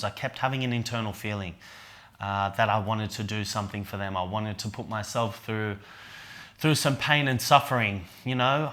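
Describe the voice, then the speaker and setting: A man, an event organiser and men's coach, talking earnestly and reflectively in a documentary interview. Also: medium pitch. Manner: soft-spoken, earnest, with fillers.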